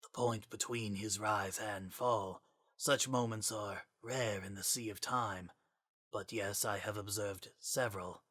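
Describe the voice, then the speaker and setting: A stern spectral scientist voiced by a man with a bright, incisive tone. He lectures in grave, formal language, passing solemn judgment in a dramatized fantasy story. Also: lowish voice, steady tone, measured pace. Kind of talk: dramatic reading of fan fiction.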